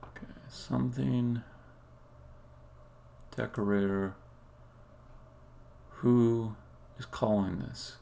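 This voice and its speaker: A man's voice: medium pitch, steady tone, drawn-out words, creaky, long pauses, warm tone, slight background noise. A man giving casual live-coding commentary as a game developer, muttering to himself while he works.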